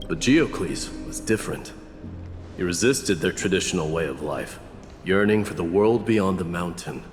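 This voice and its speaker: A man playing a grave, proud warrior who tells an old legend in a measured, formal way for a video game. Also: lowish voice, close to an everyday voice. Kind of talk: dramatic video game storytelling. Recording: reverberant hall, music behind.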